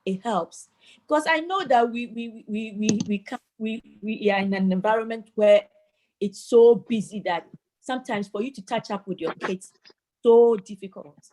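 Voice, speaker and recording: A woman's voice: fairly high pitch, normal speed, mellow, poor audio. Earnest woman in a video call discussion. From Ghana. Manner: earnest, repeats words when starting sentences. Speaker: participant moderating a community discussion. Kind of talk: community meeting talk.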